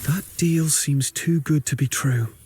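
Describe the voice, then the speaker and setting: An actor voicing a watchful, protective young hero in a video game, with a husky voice. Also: male voice, fairly high pitch, unhurried, a little mellow, a little hiss or hum, close to an everyday voice. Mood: alert, concerned.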